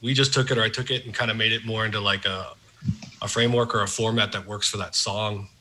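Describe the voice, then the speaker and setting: A man's voice: lowish voice, steady tone, fast, sharp tone, slight background noise. A man who plays guitar in a band, explaining thoughtfully and hedging as he goes. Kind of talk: casual interview answers.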